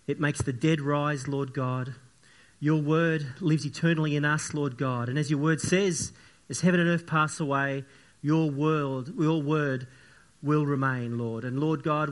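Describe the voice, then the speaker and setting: Croaky-voiced preacher man, earnest and halting. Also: fairly high pitch. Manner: earnest, repetitive, halting with many fillers and restarts. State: croaky, sniffly voice from the flu. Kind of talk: church sermon with opening prayer.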